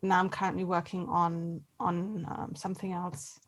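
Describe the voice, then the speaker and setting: A woman in a casual interview as an actor guest, talking conversationally in halting, hesitant phrases. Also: on the low side, level tone.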